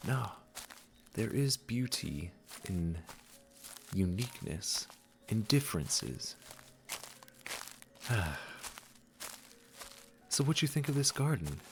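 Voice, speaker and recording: Slow-talking gentle male garden keeper, acted by a man. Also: on the low side, big pitch swings, faint music behind, full character voice. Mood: tender, encouraging. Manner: soothing, unhurried, affectionate. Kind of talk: comforting roleplay audio drama.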